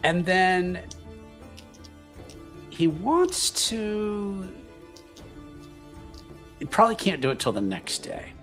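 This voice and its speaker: Slow-talking man at a roleplaying game. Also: high voice, big pitch swings, a bit bright. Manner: hesitant, thinking aloud. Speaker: player in a tabletop roleplaying game. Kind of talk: casual improvised character narration. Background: background music.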